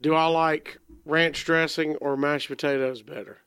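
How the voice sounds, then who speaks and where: A man's voice: a little high, fairly even intonation, unhurried, fairly bright tone, clean tone, no room sound. A man chatting on a radio show and telling a jokey story. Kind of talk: casual chat, storytelling.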